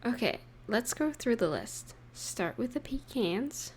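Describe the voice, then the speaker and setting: A young woman playing an affectionate, playful girlfriend in an intimate audio roleplay, speaking softly and tenderly with gentle pauses. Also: on the high side, sing-song, slight background noise. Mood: playful, relaxed. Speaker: voice actor in romantic audio roleplay. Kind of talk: intimate scripted roleplay addressed to the listener.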